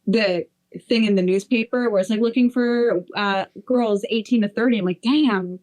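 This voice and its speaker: A woman's voice: medium pitch, moderate pace, darkish tone. A woman in her twenties co-hosting a podcast, talking casually with lots of fillers and restarts. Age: 20s. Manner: rambling, filler-heavy, enthusiastic. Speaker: podcast co-host. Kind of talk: casual chat.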